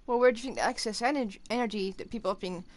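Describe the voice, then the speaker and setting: A woman's voice: fairly high pitch, racing. A woman giving casual live gameplay commentary as a streamer. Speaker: game streamer.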